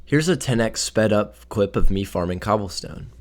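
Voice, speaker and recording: A young man with a slightly raspy voice from a cold, casually narrating his gameplay and sometimes tripping over his words. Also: on the low side, animated, normal speed. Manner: casual, offhand, trips over words now and then. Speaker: gaming video creator.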